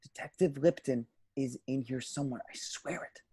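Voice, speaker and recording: A man's voice: medium pitch, normal speed, dark tone, slight background noise, natural voice. A man playing an anxious young man searching a haunted theater, urgent and nervous, in an amateur horror table read. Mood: nervous pleading.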